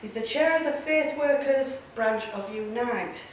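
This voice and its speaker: A woman's voice: a little high, measured pace, a little hiss or hum. A woman with a Yorkshire accent hosting an event and introducing the speakers in a chatty way, with plenty of fillers. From Yorkshire, England. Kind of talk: speech to an audience. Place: large echoey room. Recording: very echoey room, voice from far off.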